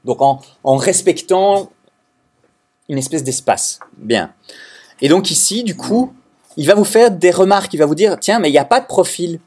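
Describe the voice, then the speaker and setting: A man from Belgium teaching a software class to students, explaining step by step with frequent restarts and hesitations. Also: sits high, lively intonation, normal speed, slightly rough. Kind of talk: recorded classroom lecture.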